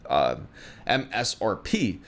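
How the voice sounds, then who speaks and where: Chatty man hosting a tech livestream. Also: takes it slowly. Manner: chatty, reads viewer questions aloud, many fillers and restarts; lively pitch. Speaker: tech livestream host answering chat questions. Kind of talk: casual live-stream chat.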